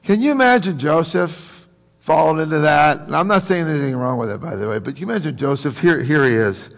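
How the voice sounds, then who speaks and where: American male pastor, creaky voice, joking and folksy, preaching conversationally in an echoing hall. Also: fairly high pitch, animated, fast. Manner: folksy, joking, rhetorical questions to the congregation. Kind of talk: conversational sermon. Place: large room, preaching to a congregation. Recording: reverberant hall.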